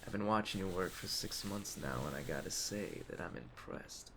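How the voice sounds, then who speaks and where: A man playing a calm, commanding aging mafia boss in an ASMR roleplay, measured, streetwise and gravelly. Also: deep voice. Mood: approving, solemn. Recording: clear ambient storm noise behind. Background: storm ambience.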